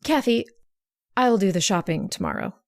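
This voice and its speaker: A woman acting a sly, genteel, scheming sister in a radio drama, speaking in a deliberate, confiding way. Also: medium pitch, huge pitch swings, creaky, dry recording. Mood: sly, controlled. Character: sly, scheming sister feigning frail worry.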